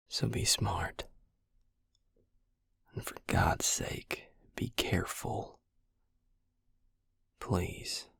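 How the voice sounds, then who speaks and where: A gruff but caring vampire healer played by a man murmurs close and dry in an intimate audio roleplay. His voice is very low and thick with gravel, often falling to a whisper. He is sardonic and teasing, with tenderness underneath. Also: a bit bright, dry recording, exaggerated voice. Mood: urgent, pleading. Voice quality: breathy, husky, gravelly near-whisper.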